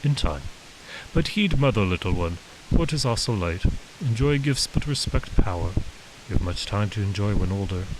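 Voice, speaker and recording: A man voicing a large, gentle, wise foreigner in an audiobook, speaking slowly and solemnly in clipped, broken English. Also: lowish voice, lively intonation, natural voice. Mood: solemn, wistful. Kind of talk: audiobook character dialogue. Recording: hissy background noise.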